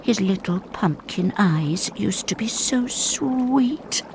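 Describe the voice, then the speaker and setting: A woman's voice: mid-range voice, animated, takes it slowly, background noise. Melodramatic witch, wildly swooping voice. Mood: doting, wheedling. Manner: theatrical, swooping from murmurs to shrieks. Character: eccentric doting witch, melodramatic and volatile. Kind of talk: animated game cutscene acting.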